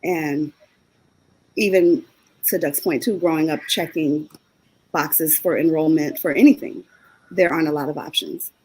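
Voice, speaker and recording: A woman's voice: deep voice, steady tone, measured pace, pauses often, darkish tone, slight background noise, poor audio. A woman speaking on a panel discussion in a heartfelt, personal way.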